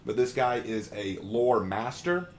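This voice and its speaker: A man's voice: medium pitch, animated, measured pace, slight background noise. A man hosting a live stream, talking in a friendly, chatty way with frequent ums and uhs. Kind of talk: casual live stream commentary.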